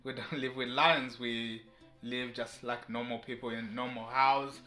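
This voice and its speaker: A man's voice: mid-range voice, flat delivery, measured pace, sharp tone. A man in his thirties from eastern Congo, whose first language is Mashi, talking casually to camera with frequent laughs and amused disbelief. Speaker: vlogger. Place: indoor living room with shelves. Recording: echoey room, faint music.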